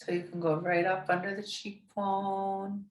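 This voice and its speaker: A hoarse woman in her forties with a raspy voice from a cold, gently guiding a yoga class with soft, soothing instructions. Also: mid-range voice, little pitch movement. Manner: slow, soothing, softly encouraging. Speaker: yoga teacher. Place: bright home studio room with large windows. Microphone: camera across the room. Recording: echoey room, voice from far off.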